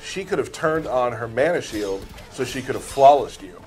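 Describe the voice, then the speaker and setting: A man of average pitch hosts a game stream with casual commentary and teasing banter. Music is faintly audible behind his voice. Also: lively intonation, normal speed, a little mellow.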